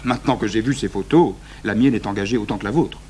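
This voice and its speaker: A man's voice: fairly low pitch, quick pace, darkish tone, close to an everyday voice. A man acting a sceptical, exacting magistrate in his thirties in a French radio crime drama, dry and formal. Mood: insistent, stern. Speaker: actor playing an examining magistrate. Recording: noisy 1980s radio recording with hum.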